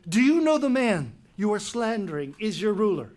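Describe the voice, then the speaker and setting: Actor as proud, high-voiced stubborn king. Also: big pitch swings, darkish tone. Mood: scornful. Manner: halting, emphatic, repeats words. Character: proud, stubborn male king, defensive and scornful. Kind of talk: dramatic reading of Greek tragedy.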